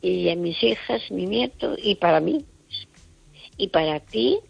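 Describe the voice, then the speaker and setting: A woman's voice: lowish voice, animated, unhurried, gravelly, breathy edge, no room sound, soft background music. An older woman from Madrid calling in to a radio show, chatting casually in a rambling, repetitive way over the telephone. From Madrid, Spain. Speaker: radio phone-in caller. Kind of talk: casual chat. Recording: dull, muffled call-in line.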